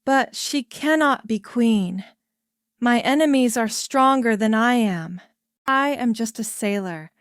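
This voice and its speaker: A woman voicing a grave, resigned king who speaks quietly and sadly, in a smooth, clear voice. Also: on the high side, slow pace, no room sound, studio quality. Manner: quiet, soft-spoken and resigned. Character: grave, sorrowful man, an abdicating king.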